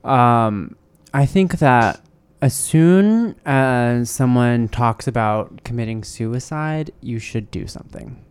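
A man co-hosting a podcast, chatting casually in an expressive, jokey way. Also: medium pitch, clean tone, no room sound. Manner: expressive, jokey, quick to laugh. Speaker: podcast co-host.

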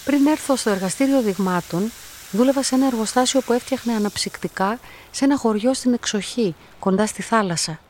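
An actress playing a quiet, lonely young woman who tells her own story in a radio play, in a calm, measured, reflective way. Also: on the high side, a little hiss or hum, close to an everyday voice. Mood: reflective, sombre. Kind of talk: radio play monologue, literary first-person narration.

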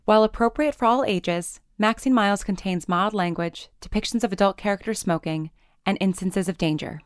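A woman's voice: medium pitch, brisk, clear, resonant tone, no room sound. A woman reading a scripted introduction and content notice as the show's announcer. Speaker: announcer of the show.

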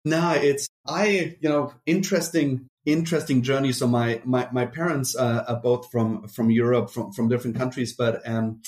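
A man's voice: medium pitch, steady tone, normal speed, hardly any pauses, a little mellow. Man, German accent, middle-aged, interview guest, rambling with restarts and fillers. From Brazil, raised in Germany. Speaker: marketing executive guest. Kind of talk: casual interview talk.

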